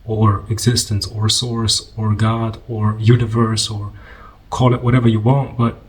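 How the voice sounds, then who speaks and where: A man leading a guided meditation in an informal, conversational way, unhurried and gently instructing, with pauses. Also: fairly low pitch, level tone.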